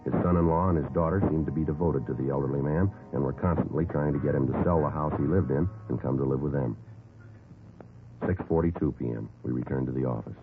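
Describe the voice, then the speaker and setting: Male police detective, extremely low pitch, fast and clipped, deadpan, businesslike, vintage radio crime drama, thin narrowband recording. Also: over music. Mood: flat, factual. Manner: deadpan, clipped, matter-of-fact. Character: terse, businesslike male police detective sergeant narrating cases. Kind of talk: 1950s radio drama narration and acting.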